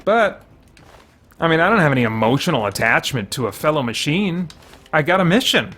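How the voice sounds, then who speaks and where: Higher-voiced man in casual gaming commentary. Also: sing-song, fairly quick, fairly bright tone. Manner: conversational, musing, thinking aloud. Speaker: gamer, host of a faith and geek culture show.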